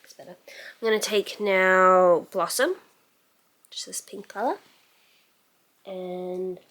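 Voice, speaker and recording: A woman's voice: fairly low pitch, slow pace, a bit bright. A woman giving a casual makeup tutorial as a beauty vlogger, musing aloud as she works and letting sentences trail off.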